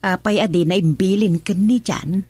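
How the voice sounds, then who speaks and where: A woman's voice: medium pitch, moderate pace, a little mellow, slightly rough, no room sound, natural voice. An actress playing an older Ilocano mother in a radio drama, fussy and full of questions.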